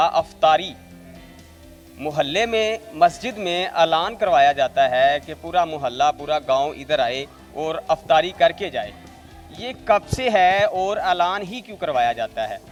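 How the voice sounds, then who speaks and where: High-voiced Pakistani man reporting. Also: expressive, a little slow, faint music behind. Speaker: reporter interviewer. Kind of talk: on-location news interview. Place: on location at a mosque.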